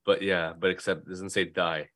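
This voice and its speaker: A man co-hosting a podcast, bantering casually with a joking, deadpan manner. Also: sits low, normal speed, no room sound, clean studio sound. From Canada. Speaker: podcast co-host.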